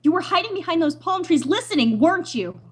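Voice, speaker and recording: A woman's voice: very high-pitched, very animated, fairly quick. A woman playing an anguished young island daughter torn by love, pleading breathlessly in an old-time radio melodrama. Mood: urgent pleading.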